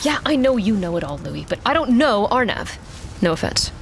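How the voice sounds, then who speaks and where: Tough female mech pilot: medium pitch, dry and blunt, casual audio drama delivery, faint ambience. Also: big pitch swings, a little fast, creaky, slight background noise. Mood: sarcastic, irritated. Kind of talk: science fiction audio drama acting.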